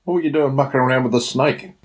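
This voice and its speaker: A man acts out a young Australian boy from a storybook, anxious and guilty. The voice is lifted well above the reader's deep natural register. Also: quick pace, natural voice. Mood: wary, urgent.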